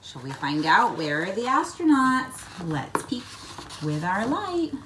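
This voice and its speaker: A woman's voice: mid-range voice, a little slow, noisy background. A woman reading a children's picture book aloud, expressively, with coaxing questions and asides to young listeners. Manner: expressive storytelling, coaxing questions, acted-out sound words. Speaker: read-aloud host.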